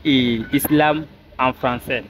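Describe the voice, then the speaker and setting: A man with a mid-pitched voice addresses viewers informally as a presenter. He speaks very slowly and haltingly, phrase by phrase, over faint background noise. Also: steady tone. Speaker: video presenter.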